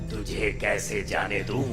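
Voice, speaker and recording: A man voicing a menacing ghostly sorcerer in an animated story, with a gravelly voice and slow, threatening manner. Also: lowish voice. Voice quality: gravelly, creaky. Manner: slow, menacing, with evil laughter. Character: menacing ghostly sorcerer demanding human sacrifice. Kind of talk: dramatized Hindi animated story. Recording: echo effect, loud music behind.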